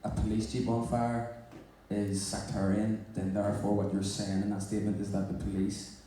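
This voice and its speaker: A man's voice: fairly low pitch, flat delivery, normal speed, dark tone, slight background noise. A man with a Northern Irish accent talking wryly and sardonically as a guest on a live onstage panel. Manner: wry, sardonic, chatty. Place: large indoor venue before an audience. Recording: echoey hall from far off.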